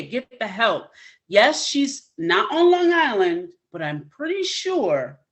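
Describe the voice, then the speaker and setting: A woman hosting a podcast interview, upbeat and encouraging, turning playful when she urges listeners toward her guest. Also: fairly low pitch. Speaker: podcast host. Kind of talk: casual interview chat.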